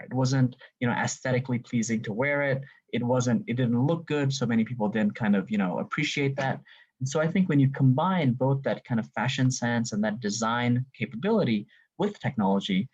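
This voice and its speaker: Male presenter on a video call, husky, filler-heavy delivery, dull audio. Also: mid-range voice, a little mellow. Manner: filler-heavy, many "you know" and "right?". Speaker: webinar presenter. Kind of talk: business presentation.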